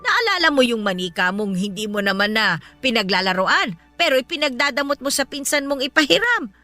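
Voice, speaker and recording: A woman's voice: fairly high pitch, sing-song, fairly quick, sharp tone, soft background music. A woman acting a blunt, unfazed older folk healer in a Filipino radio drama, folksy and scolding. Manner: folksy, scolding, matter-of-fact.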